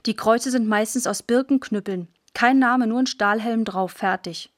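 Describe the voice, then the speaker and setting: An actress plays a postwar schoolgirl narrating a radio play. Her voice is fairly high and recorded close and dry. She tells things plainly and vividly, in short matter-of-fact phrases. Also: moderate pace, no echo, natural voice. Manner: plain, clipped, vivid storytelling. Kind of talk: first-person scripted radio drama narration.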